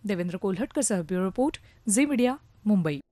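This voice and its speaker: A woman's voice: medium pitch, animated, quick pace, mellow, dry recording. A woman reading a scripted news report as a reporter.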